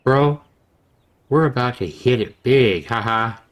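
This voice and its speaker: A man's voice: mid-range voice, takes it slowly, lo-fi sound, lightly acted. A man voicing a cocky, boastful young best friend in a visual novel, with slangy bro talk. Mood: excited.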